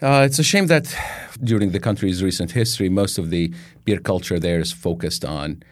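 A man from Iraq telling his story as an interview guest, in halting phrases with frequent pauses. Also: low-pitched, no room sound, clean studio sound.

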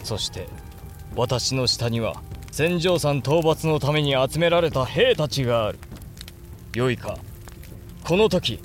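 A brooding samurai lord with a mid-pitched male voice. He speaks with grave, deliberate authority, as in a historical radio play, with music underneath. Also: lively intonation. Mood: intense, commanding. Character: brooding, resolute samurai lord and elder brother. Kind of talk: Japanese period audio drama acting.

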